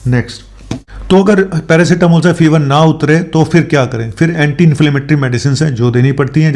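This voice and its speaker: A man with a medium-pitched voice gives informal medical advice as a doctor hosting a show. He talks conversationally to viewers, mixing in English medical terms and often checking in with short tag questions. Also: darkish tone. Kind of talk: informal health explainer talk to viewers.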